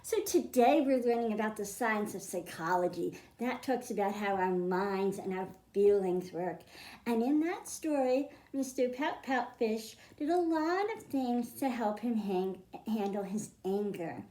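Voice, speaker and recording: A woman hosting a library storytime, reading a picture book aloud to young children with expressive, deliberate phrasing. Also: mid-range voice, echoey room.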